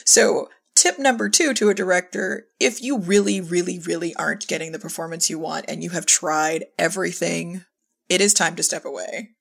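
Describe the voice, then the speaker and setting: A middle-aged woman speaks in a low voice with plenty of fry and a husky edge. She tells stories casually as an interview guest, acting out bits of remembered conversation as she goes. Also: normal speed. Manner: animated storyteller, acts out quoted remarks. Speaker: podcast guest, audio drama director.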